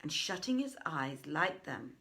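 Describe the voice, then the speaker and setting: A woman reading an old tale as dramatic scripted narration, in a formal, deliberate, theatrical way. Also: low voice, sing-song, bright, forceful tone. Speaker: audiobook reader.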